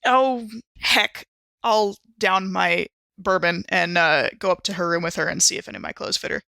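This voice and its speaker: A woman playing a tabletop roleplaying game in casual chat, playfully thinking aloud with halting phrases. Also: fairly low pitch, vocal fry, bright, forceful tone, no echo, clean studio sound. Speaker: player in an actual-play game show.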